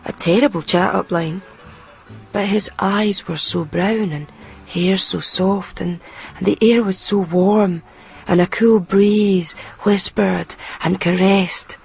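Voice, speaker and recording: Lovestruck Glaswegian woman, clear-voiced. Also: mid-range voice, steady tone, slow, a little mellow, soft background music, exaggerated voice. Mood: dreamy, confiding. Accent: Scottish, Glasgow. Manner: intimate, wistful storytelling. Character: lovestruck, fragile young woman telling her story. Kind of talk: radio drama monologue and dialogue.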